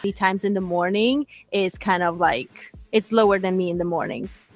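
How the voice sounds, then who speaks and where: A woman from Italy, a native Italian speaker with an Italian accent, answering interview questions earnestly with frequent pauses and fillers. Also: mid-range voice, fairly even intonation, soft background music. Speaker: show co-host.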